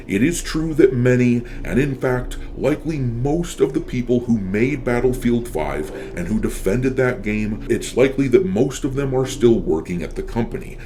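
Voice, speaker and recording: A man's voice: medium pitch, mellow, slight background noise. A man giving opinionated gaming commentary as a channel host, blunt and sardonic, swearing casually.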